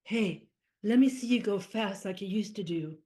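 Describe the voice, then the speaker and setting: A woman's voice: medium pitch, normal speed, dark tone, lightly acted. A woman in an improv scene playing a female teddy bear who longs to be bought, talking in a dramatic, fond way. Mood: coaxing. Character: wistful unsold female teddy bear, longing.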